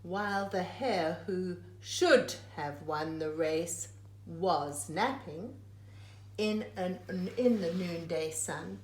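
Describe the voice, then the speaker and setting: A woman reading a rhyming children's picture book aloud like a teacher, phrase by phrase with dramatic emphasis. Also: fairly low pitch. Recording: echoey room.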